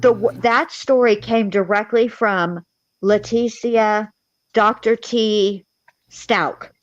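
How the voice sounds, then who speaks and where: A woman's voice: medium pitch, slow pace. A woman talking casually and emphatically as a true-crime livestream panelist, with salty, colloquial wording. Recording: dull, echoey livestream audio.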